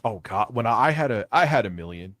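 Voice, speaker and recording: A man's voice: fairly low pitch, expressive, fairly quick, a bit bright, no room sound, studio quality. A man talking casually off the cuff as an online creator, blunt, candid and prone to swearing. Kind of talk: casual unscripted monologue.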